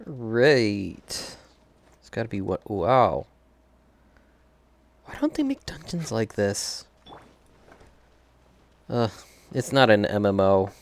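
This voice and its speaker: A man's voice: on the low side, animated, slow, creaky, pauses often. A man doing casual gameplay commentary, thinking aloud and chuckling often as he streams a video game.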